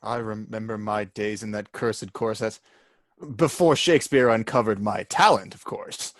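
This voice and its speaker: A man's voice: lowish voice, normal speed, no room sound, clean studio sound, natural voice. A man playing a vain, easily offended stage actor in an audio play, self-important and huffy.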